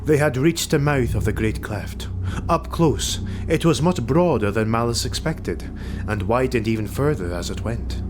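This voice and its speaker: A man's voice: mid-range voice, moderate pace, over music. A man narrating a fantasy audiobook in a dramatic, scripted storytelling style. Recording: noisy home recording.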